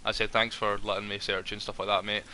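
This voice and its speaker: A man's voice: fairly low pitch, little pitch movement, fast, bright, forceful tone. A man chatting in character as a ranger in a roleplay, friendly and rambling, full of ums and restarts.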